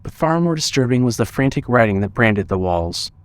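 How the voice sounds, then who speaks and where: A man narrating a scripted horror audio drama in a measured, deliberate storytelling manner. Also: medium pitch, lively intonation, clear, resonant tone.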